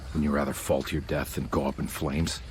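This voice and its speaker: A man's voice: a bass voice, little pitch movement, fairly quick, noisy background. An actor playing a weary, sardonic male detective in a horror game, talking in a dry mutter. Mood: wry, tense. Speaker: video game character. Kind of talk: video game horror noir acting. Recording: loud music behind. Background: loud music.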